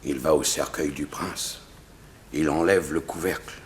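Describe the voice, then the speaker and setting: Very deep, solemn man. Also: fairly even intonation, a little slow. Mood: grave, tense. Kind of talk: 1960s French radio serial acting. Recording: noisy old radio recording with clicks.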